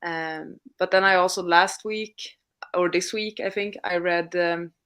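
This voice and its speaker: A woman's voice: fairly low pitch, fairly even intonation, measured pace, fairly bright tone, clean tone. A woman chatting informally and thoughtfully on a livestream about books, as one of its hosts. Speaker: co-host of a livestreamed reading sprint. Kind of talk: casual unscripted livestream chat about books.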